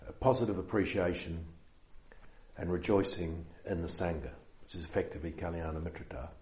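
A man with a New Zealand accent leading a meditation retreat, giving an unhurried dharma talk with many fillers. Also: sits low, fairly even intonation, darkish tone, reverberant.

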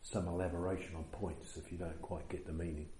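A man with a New Zealand accent leading a meditation retreat, giving an unhurried dharma talk with many fillers. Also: low voice, flat delivery, dark tone, roomy sound, slight background noise.

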